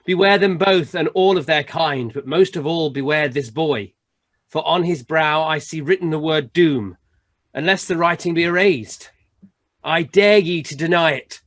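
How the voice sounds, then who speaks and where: A man's voice: a little high, unhurried. A man playing a stern, solemn Christmas spirit with grave, declamatory delivery in an amateur radio play. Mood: stern warning.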